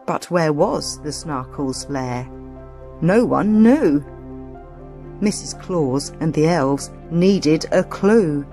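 A woman reading a children's story aloud as dramatic, expressive, suspenseful narration. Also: low voice, slow. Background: music.

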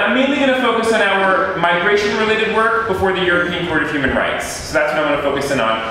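Man lecturing in an echoey classroom. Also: a little high. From United States. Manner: conversational, inviting questions. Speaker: guest lecturer. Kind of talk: seminar lecture. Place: classroom with audience. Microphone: far room camera. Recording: echoey room from far off with hiss.

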